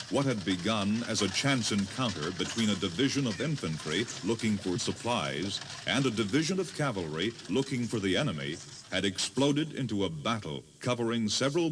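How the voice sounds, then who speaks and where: Low voiced guy narrating history like a drama, solemn, lots of swing, hissy old cassette. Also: fairly bright tone. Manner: solemn, theatrical. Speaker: tour narrator. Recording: noisy old cassette tape.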